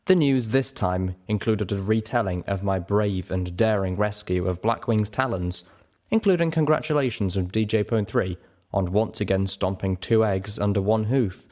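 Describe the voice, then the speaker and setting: A man's voice: lowish voice, normal speed, darkish tone. A man narrating a story in the first person as a dramatic scripted reading. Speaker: story reader.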